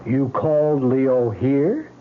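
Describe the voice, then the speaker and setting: An actor playing a patient, skeptical police detective in a vintage radio drama, speaking in a measured, probing, gently placating way. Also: male voice, medium pitch, phone-quality sound, slight background noise, lightly acted. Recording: band-limited old radio recording with music.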